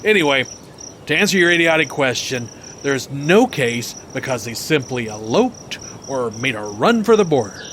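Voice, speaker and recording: An actor playing a crass, belligerent former TV reporter in a podcast drama, talking in a pompous, dismissive way outdoors. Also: male voice, medium pitch, very animated, normal speed, a bit bright. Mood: belligerent, scornful. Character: crass, belligerent right-wing ex-TV reporter, a man, golfing. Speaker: former roving news reporter. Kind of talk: acted podcast drama dialogue. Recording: noisy outdoor ambience with insects.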